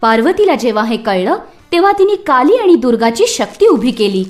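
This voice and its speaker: A woman narrates a children's myth from a script. Her voice is high and very animated, with expressive, dramatic storytelling delivery. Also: fairly quick, faint music behind.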